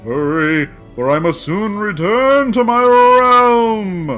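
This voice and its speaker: Man acting a grandiose, slow-talking cosmic guardian. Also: high-pitched, fairly bright tone, faint music behind. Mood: urgent, grandiose. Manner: portentous, theatrical, drawn-out phrases. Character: solemn male cosmic guardian, grandiose pan-dimensional being. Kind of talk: sci-fi audio drama acting.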